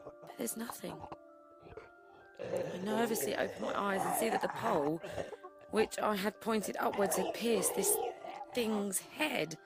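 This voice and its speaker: An actress playing a professional career woman surviving an apocalypse, narrating an audio drama in a deliberate, theatrical way. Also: medium pitch, gravelly. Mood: panicked. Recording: voice over loud music bed. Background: music.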